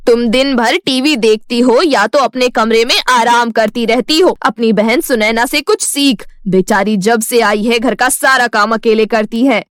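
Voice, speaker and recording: Stern cartoon mother-in-law, older woman played by a female narrator, bossy, emphatic, pitched down, dry sound. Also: measured pace, few pauses, dry recording, natural voice. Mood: angry, scolding.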